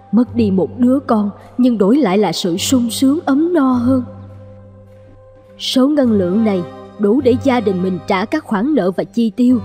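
A woman's voice: medium pitch, warm tone, close to an everyday voice. A woman voicing a humble, troubled middle-aged servant mother in a cartoon fairy tale, earnest and pleading.

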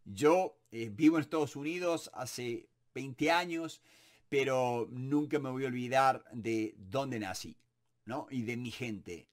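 A man in his forties with a Córdoba Argentine accent, answering viewer questions to camera in a home office in an animated, encouraging way, full of fillers. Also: mid-range voice, takes it slowly. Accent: Córdoba Argentine Spanish. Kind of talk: casual Q&A to camera. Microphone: webcam or camera at desk, close framing.

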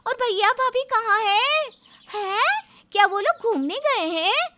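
A woman's voice: very high-pitched, animated, a little slow, heavy background noise, pitched above the performer's own voice. A woman voicing a scheming, self-centred young daughter-in-law in a cartoon story, with exaggerated dramatic delivery. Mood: feigned alarm.

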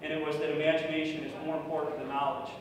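A middle-aged man with a slightly high voice gives a loose, chatty conference talk full of fillers. He is heard from some distance in a large echoey hall, with clear room noise behind him. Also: level tone, racing. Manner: loud, chatty, with many fillers. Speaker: conference speaker. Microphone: podium microphone from far off.

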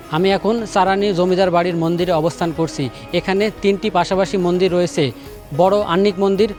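Slightly high-voiced travel narrator. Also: male voice. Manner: steady, descriptive voice-over.

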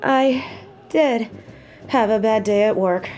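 A woman's voice: a little high, slow, a little mellow, a little hiss or hum. A woman playing a sweet weary demon girlfriend. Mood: affectionate. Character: sweet tired female demon girlfriend, affectionate. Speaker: voice actor in an audio roleplay. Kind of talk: intimate audio roleplay.

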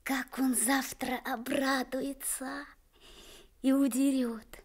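A woman's voice: high voice, little pitch movement, slow pace, full character voice. An actress playing an earnest, dreamy, kind-hearted little boy in a radio drama. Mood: excited, secretive. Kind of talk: Soviet radio drama acting.

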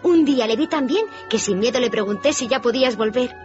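A woman's voice: fairly high pitch, lively intonation, brisk, lightly acted. A woman playing a sweet, earnest and loving little girl in a radio drama. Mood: tender excitement. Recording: muffled radio drama, faint music.

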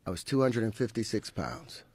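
A man in his fifties as a podcast guest, talking haltingly with many restarts and frequent laughs. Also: fairly low pitch, sing-song, darkish tone, breathy edge, no echo. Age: 50s. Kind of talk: interview conversation. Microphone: podcast mic with headphones.

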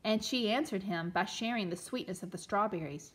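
A woman reading a storybook aloud to young children as a teacher, expressively, pausing to explain and ask questions. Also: mid-range voice, poor audio. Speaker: elementary teacher reading a picture book aloud.

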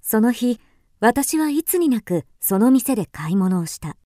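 An actress playing a wistful, introspective first-person storyteller in a literary radio drama. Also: female voice, fairly high pitch, sing-song, unhurried, warm tone, no room sound, studio quality. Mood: wistful, relieved. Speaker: actor narrating.

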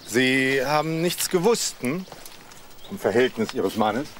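Casting: male detective, crime drama, matter-of-fact and direct, average pitch, slight background noise. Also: expressive, takes it slowly, a bit bright. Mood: probing. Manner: blunt, questioning. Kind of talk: German TV crime drama acting.

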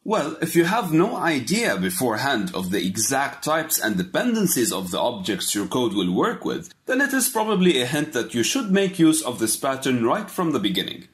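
A man narrates a programming lesson in a scripted voice-over. He walks through the code step by step in a measured, explanatory way. His pitch rises and falls in a lively way.

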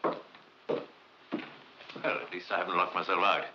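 A man's voice: low-pitched, steady tone, measured pace. A man playing a breezy, teasing young man in 1950s film drama dialogue. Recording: muffled, noisy old film soundtrack.

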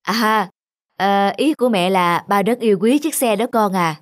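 A woman voicing a caring young mother who explains things gently in a children's cartoon. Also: mid-range voice, moderate pace, lightly acted. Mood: reassuring. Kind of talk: Vietnamese children's cartoon dubbing.

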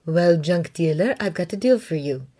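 A woman's voice: lowish voice, expressive, normal speed, warm tone. A woman voicing a shrewd Mandalorian woman mercenary with a dry, knowing manner. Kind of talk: fan fiction audiobook reading.

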